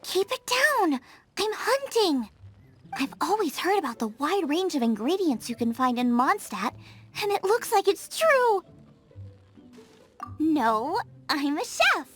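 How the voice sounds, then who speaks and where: A bubbly young chef in a video game, high-voiced and eager. Her voice swings up and down as she gets carried away by food, and soft game music runs underneath. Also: exaggerated voice. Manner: eager and food-obsessed, quick to get excited.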